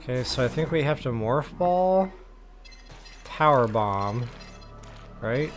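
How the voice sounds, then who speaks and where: A man's voice: medium pitch, lively intonation, takes it slowly, soft background music. A man giving casual, informal gameplay commentary as a walkthrough host, partly talking to himself as he plays. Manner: informal, talks to himself while playing.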